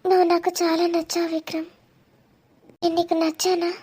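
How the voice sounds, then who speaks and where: A woman's voice: extremely high pitch, little pitch movement, a little fast, mellow. A woman voicing a shy, affectionate young woman in a cartoon romance, with a sweet, hesitant manner. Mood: tender.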